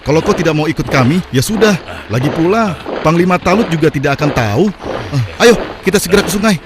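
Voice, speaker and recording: A man's voice: fairly high pitch, lively intonation, fast, background noise, lightly acted. A man playing a thirsty, impatient, weak-willed soldier in a cartoon, with a whining manner. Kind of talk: Indonesian cartoon voice acting.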